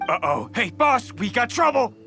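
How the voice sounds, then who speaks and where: A man's voice: extremely high pitch, big pitch swings, normal speed, pushed and bright, soft background music, heavily acted. An actor playing a jittery, deferential male bandit henchman in a video game, speaking in a nervous, informal way. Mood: panicked. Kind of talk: English video game voice acting.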